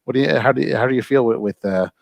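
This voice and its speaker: A mid-pitched man on a tech call who asks the others thoughtful questions. His voice is dry and close, with no room echo. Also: racing, creaky. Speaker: panel guest. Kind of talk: casual tech chat on a call.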